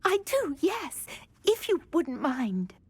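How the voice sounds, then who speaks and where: A woman's voice: high-pitched, big pitch swings, takes it slowly, darkish tone, heavily acted. An actress playing a sweet, timid, wistful woman in a video game, with a genteel manner. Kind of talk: video game dialogue acting.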